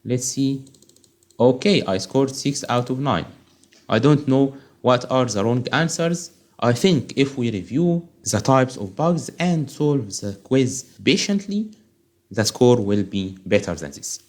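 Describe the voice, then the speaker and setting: A man whose first language is Arabic, tutoring on software testing and walking through a quiz step by step, checking in often with "okay?". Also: mid-range voice, animated, a little slow. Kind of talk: screen-recorded tutorial walkthrough.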